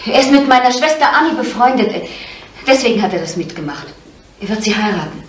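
An actress playing the guarded eldest daughter of a brutal innkeeper in a German radio crime drama. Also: female voice, a little high, lively intonation, brisk, natural voice. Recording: faintly noisy 1970s radio play.

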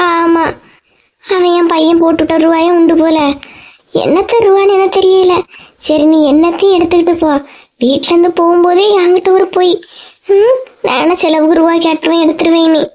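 A very high, whining voice of an aggrieved village mother-in-law acted out by a woman. She tells her grievances dramatically, as in a comic cartoon, with a Kanyakumari Tamil accent. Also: normal speed, long pauses, a little mellow. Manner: complaining, dramatic storytelling.

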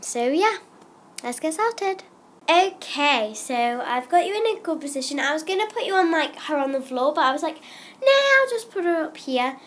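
A woman's voice: high-pitched, a little hiss or hum. Chatty young girl with a cold. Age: child. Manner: rambling, lots of ums. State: has a cold. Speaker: vlogger. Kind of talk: casual chatty vlog.

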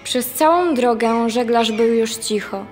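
A woman's voice: a little high, unhurried, lightly acted. A young woman narrating a radio play as the storyteller of a sailor's sea voyage. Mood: solemn storytelling. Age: young adult. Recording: long hall reverb under music.

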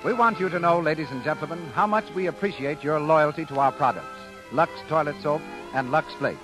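A man's voice: on the high side, poor audio. An American man announcing in a formal, declamatory 1930s radio style. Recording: 1938 radio broadcast. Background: music.

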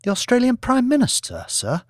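A man's voice: very high voice, wildly swooping pitch, normal speed, no room sound, clean studio sound, pitched above the performer's own voice, full character voice. A man voicing a deferential, obliging female assistant in an audiobook, courteous and eager to please. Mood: polite, obliging.

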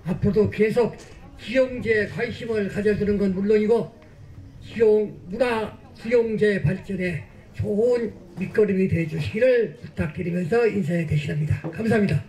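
High-voiced older man giving formal remarks. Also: moderate pace, a little mellow, poor audio. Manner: polite, formal. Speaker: guest speaker. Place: outdoor festival stage. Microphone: stand microphone.

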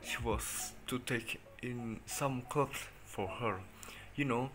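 A man's voice: mid-range voice, slow, music in the background, lightly acted. A young man playing a dismissive, plain-spoken country sheriff in an amateur student drama. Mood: matter-of-fact.

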